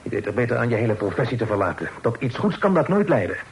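An actor playing a polite, upright young gentleman who speaks formally, in an old radio drama. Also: medium pitch, big pitch swings, fast, vocal fry. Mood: stern. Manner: courteous, formal and principled.

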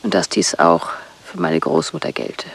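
A woman playing a bitter, hunted wife from a psychiatric clinic in a radio play, terse and intense, her voice husky and breathy, often near a whisper. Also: deep voice, sing-song, moderate pace, heavily acted. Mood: bitter, guarded.

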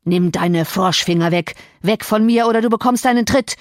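A woman's voice: on the low side, animated, moderate pace, a little mellow, no echo, studio quality, lightly acted. A woman voicing a sneering, menacing tyrant of a man. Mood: furious. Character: cruel scornful middle-aged man, a tyrant. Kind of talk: audiobook character voice.

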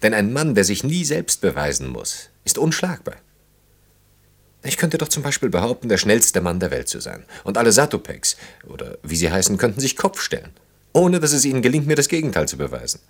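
A man's voice: on the low side, sing-song, brisk, husky, exaggerated voice. An actor in a German radio play, playing an earnest, self-reproaching man in his thirties in love, speaking in an intimate, ruminative way. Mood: agitated, arguing. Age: 30s.

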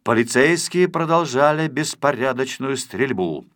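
Male narrator for a children's adventure audiobook: bright tone, highly varied intonation, expressive scripted reading. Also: unhurried.

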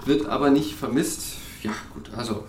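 A man's voice: medium pitch, normal speed, distant mic, a little hiss or hum, close to an everyday voice. Actor as hesitant, defensive young urban man, roomy sound. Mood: casual, sheepish. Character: evasive male urban tenant on an awkward first date. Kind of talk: naturalistic audio drama acting.

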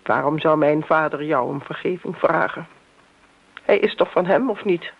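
Husky, insistent middle-aged woman. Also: low voice, normal speed. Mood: probing. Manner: probing, accusatory, pointed. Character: insistent grown daughter confronting an older family friend. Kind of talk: radio drama acting. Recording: dull, lo-fi radio drama recording.

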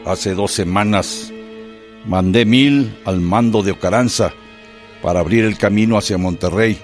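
Actor as a low, grave military commander. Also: male voice, a little slow, soft background music, natural voice. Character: grave male military commander recounting his campaign. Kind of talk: dramatized first-person radio narration.

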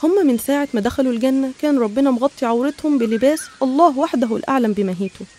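Egyptian lady narrating a cartoon story, highish voice, quick, explains as she goes. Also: dark tone. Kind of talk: scripted storytelling with explanations, for animation.

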